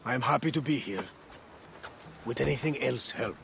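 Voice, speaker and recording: A loyal ship's helmsman in a video game who jokes dryly, played by an actor. His voice is gravelly with middle pitch. Faint noise sits behind him. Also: normal speed, natural voice. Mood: wry. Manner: dry, wry humour, loyal. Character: wry middle-aged male ship's captain.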